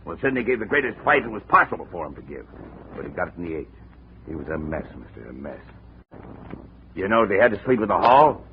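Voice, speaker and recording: An actor playing a gruff, rambling, excitable boxing manager who swings from shouting to muttering, in 1950s radio drama style. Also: male voice, deep voice, fast, pauses often. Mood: grumbling, rambling. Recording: muffled, noisy 1950 radio recording.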